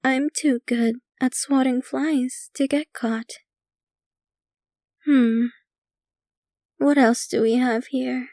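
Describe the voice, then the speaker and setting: A woman acts out a possessive yandere vampire girlfriend in an ASMR roleplay. Her voice is on the high side with plenty of fry in it, and she takes her time, doting and sinister at once. The sound is close and very dry. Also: steady tone, dark tone, no room sound. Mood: amused, chatty. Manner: unhurried, doting yet possessive, casually sinister. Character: possessive centuries-old yandere vampire girlfriend, sweetly menacing. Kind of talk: ASMR roleplay, intimate scripted monologue to the listener.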